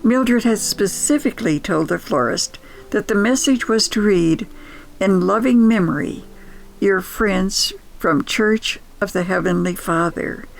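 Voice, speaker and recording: An older woman narrating nostalgic personal stories as a podcast storyteller, reflective and unhurried. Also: mid-range voice, creaky, rough recording.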